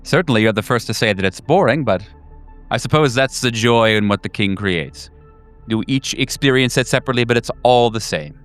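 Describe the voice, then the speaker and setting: A man's voice: mid-range voice, animated, fairly bright tone, close to an everyday voice. A man voicing a cryptic, philosophical servant of a cosmic king in an improvised tabletop horror game. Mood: amused, cryptic. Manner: measured, philosophical, enigmatic. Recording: music bed behind the voice.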